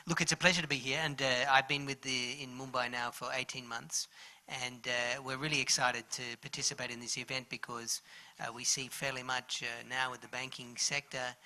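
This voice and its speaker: This is an Australian man speaking at a conference panel. His voice is very bright and his pitch stays flat and even. He talks in a loose, anecdotal way, with plenty of "uh"s. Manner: relaxed, anecdotal, frequent fillers. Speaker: bank chief executive on a panel. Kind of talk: conversational panel introduction.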